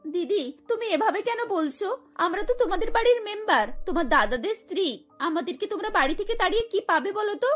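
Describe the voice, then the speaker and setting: Woman doing an anxious young wife in a cartoon, high plaintive voice, light music under it. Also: expressive, fairly quick, a bit bright. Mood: hurt. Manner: pleading, plaintive. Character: pleading, anxious young daughters-in-law. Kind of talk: cartoon voice acting.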